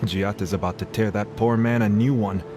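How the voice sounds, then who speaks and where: A man's voice: lowish voice, steady tone, normal speed, close to an everyday voice. An actor playing a gossipy, wry townsman in a video game, speaking informally with dry amusement. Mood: wry, weary. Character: wry, gossipy male townsman giving warnings. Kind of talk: conversational video game voice acting. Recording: voice over game music.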